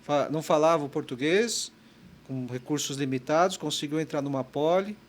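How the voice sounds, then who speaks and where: A man's voice: fairly high pitch, moderate pace. A man from Brazil hosting an interview, chiming in with short affirmations and summing up what the guest says. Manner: brief affirmations, sums up the guest's points. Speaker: interview host. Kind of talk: interview conversation. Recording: faint background noise.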